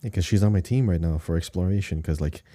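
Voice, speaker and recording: A man co-hosts a casual gaming podcast. His voice is deep and soft-edged, with a dark, relaxed tone. It is recorded very dry, without room sound. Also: fast, no room sound. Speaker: podcast co-host.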